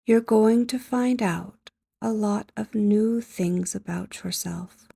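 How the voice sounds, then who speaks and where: Female sleep hypnosis guide: mellow, creaky low-energy delivery, soft and breathy, gentle, measured, with long pauses. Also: no room sound, studio quality. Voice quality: soft, breathy, often near a whisper.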